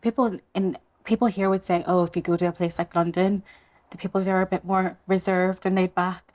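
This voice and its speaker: A woman's voice: lowish voice, flat delivery, fairly quick, a little mellow. A woman from Zimbabwe hosting a podcast chat, introducing the topic and asking guests to share. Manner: guiding, asks questions. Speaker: podcast host. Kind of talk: casual chat.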